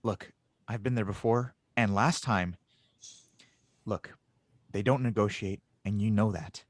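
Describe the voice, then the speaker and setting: A man's voice: on the low side, a little slow, no room sound, natural voice. A man playing an earnest, stubborn young inventor in a space audio drama, urgent and insistent. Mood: determined, tense. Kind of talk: audio drama table read.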